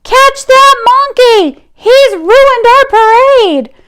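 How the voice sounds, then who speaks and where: A woman voicing an indignant male parade band leader from a children's storybook, exclaiming loudly in drawn-out outrage. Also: extremely high pitch, lively intonation, pitched above the performer's own voice, full character voice. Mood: angry, accusing.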